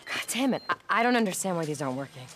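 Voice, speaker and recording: A woman's voice: lowish voice, sing-song, very fast. A determined American woman scientist in a post-apocalyptic video game. She explains things earnestly, with urgency and a guarded edge. Faint ambient noise sits behind her voice. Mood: frustrated, urgent. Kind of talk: naturalistic dramatic game cutscene acting.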